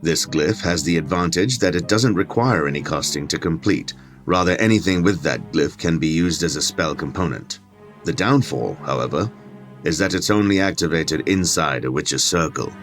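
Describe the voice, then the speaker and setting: A man's voice: low voice, moderate pace, soft background music, close to an everyday voice. An actor playing a learned, pedantic clergyman scholar in an audio drama, precise and dryly sardonic. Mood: absorbed, explanatory. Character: learned, condescending male occult scholar, clergyman. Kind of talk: dramatic audio fiction acting.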